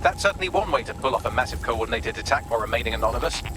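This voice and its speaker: A man's voice: mid-range voice, lively intonation, racing, over music, natural voice. A man playing a witty, snarky AI assistant in a video game, articulate and sardonic. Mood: wry, analytical.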